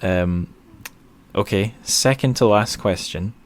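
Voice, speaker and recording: Male podcast host, low voice, casual interviewing, feels his way into questions and self-corrects. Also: takes it slowly.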